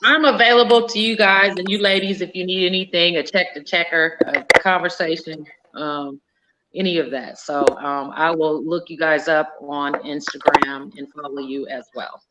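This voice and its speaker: A woman's voice: low-pitched, fairly even intonation, normal speed, heavy background noise. A middle-aged woman, searching for her words and trailing off mid-thought as a guest in a live-stream chat. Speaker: guest rapper.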